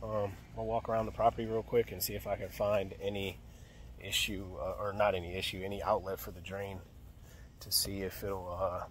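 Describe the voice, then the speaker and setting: A man's voice: low-pitched, flat delivery, brisk, a bit bright, a little hiss or hum. Young man thinking aloud on the job. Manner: thinking aloud, many fillers and restarts. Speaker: HVAC technician. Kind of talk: casual work vlog narration. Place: outdoors by an AC unit and indoors in a closet. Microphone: phone camera held close.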